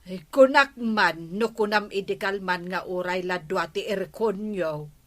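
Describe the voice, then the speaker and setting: A woman's voice: medium pitch, a little slow, fairly bright tone, close to an everyday voice. An actress playing a teasing, nosy village gossip woman in an Ilocano radio comedy, with an Ilocano accent. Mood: amused, nosy.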